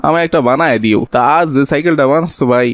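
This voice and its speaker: A man's voice: fairly high pitch, racing, no echo, studio quality, lightly acted. A man voicing a sly, boastful village thief in a cartoon, wheedling and gloating in colloquial Bangladeshi Bengali dialect. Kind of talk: cartoon voice acting.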